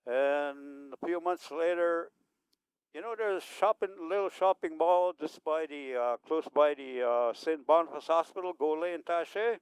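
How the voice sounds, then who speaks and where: Older guy in his 70s rambling and chuckling at a mic, kinda high voice, clean and dry sound. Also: measured pace, smooth, no echo, poor audio. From Manitoba, Canada. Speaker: speaker at a community event. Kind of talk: unscripted public remarks.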